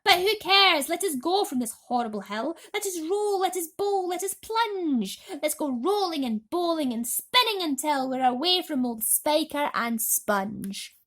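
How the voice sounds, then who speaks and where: A woman's voice: high-pitched, animated, a little slow, few pauses, fairly bright tone, voice pushed up. A woman voicing an exuberant, mischievous male centipede, reciting in singsong storybook style. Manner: exuberant, singsong rhyming delivery. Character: excitable, boastful male centipede, grinning and mischievous.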